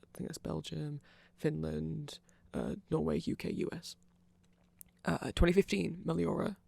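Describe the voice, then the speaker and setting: A man's voice: fairly high pitch, steady tone, unhurried, gravelly, darkish tone, no echo. A man giving a rambling, nerdy, informal ASMR explainer, often hesitating and interrupting himself. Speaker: ASMR creator explaining band lore.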